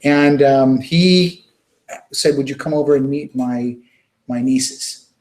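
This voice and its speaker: A man speaking as an interview guest, recounting experiences in an earnest, halting, informal conversational way. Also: medium pitch, a little mellow. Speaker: interview guest, children's music charity worker.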